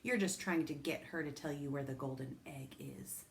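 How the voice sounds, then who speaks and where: A woman reading aloud, voicing a loud, bossy little girl with a shrill, pushy manner. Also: normal speed, gravelly, reverberant. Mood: sly.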